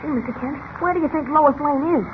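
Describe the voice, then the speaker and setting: An actor playing an eager, earnest, boyish cub reporter with a plucky manner in an old radio serial. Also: male voice, very high-pitched, a little fast. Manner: wide-eyed, plucky, questioning. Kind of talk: 1940s radio serial acting. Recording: tinny, band-limited old radio with hiss.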